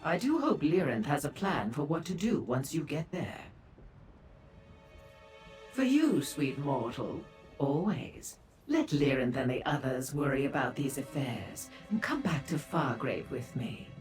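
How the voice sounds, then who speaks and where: A woman's voice: deep voice, sing-song, measured pace, a little mellow, faint music behind. A woman voicing a sly, seductive and haughty female demon dealer in a fantasy video game, with a teasing manner. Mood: instructive, cool, then flirtatious, teasing.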